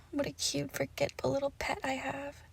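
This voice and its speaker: A woman playing a lovesick, possessive witch in an intimate ASMR roleplay, coaxing and teasing her captive, slipping between soft speech and slow whispers. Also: medium pitch. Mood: doting, teasing.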